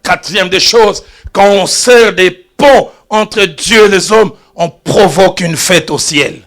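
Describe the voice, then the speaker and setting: A pastor preaching to his congregation, a man with a bright, ringing voice. He tells his stories by dropping to hushed asides and then rising to loud exclamations. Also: sits high, slow pace. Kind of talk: sermon. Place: church.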